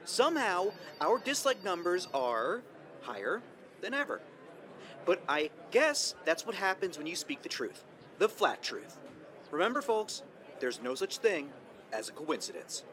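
Audio comedy: self-important conspiracy vlogger, combative and folksy, high lively voice. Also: male voice, a little slow, full character voice. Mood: self-righteous. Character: indignant male conspiracy video host, self-important. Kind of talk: online video rant, acted in audio fiction.

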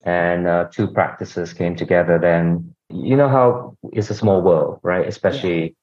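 A man's voice: low-pitched, a little slow, darkish tone. A middle-aged man from Singapore, a podcast guest, explaining his organisation in a conversational way and often adding "you know". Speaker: guest, healthcare executive. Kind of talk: conversational interview answers. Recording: dull lo-fi audio.

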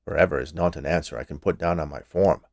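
A fussy little male health inspector from an audiobook, acted out by a man. He speaks in a lowish voice, recorded close and dry. He is stern, officious and easily annoyed, giving curt answers. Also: animated, fairly quick, creaky, a little mellow, no echo. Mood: curt, irritated. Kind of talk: audiobook character voice.

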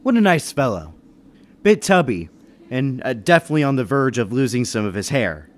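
An actor playing a level-headed businessman who narrates a murder mystery in old-time radio style, measured and reasoning. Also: mid-range voice, big pitch swings, a little hiss or hum, lightly acted. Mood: calm narrating. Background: music behind the voice.

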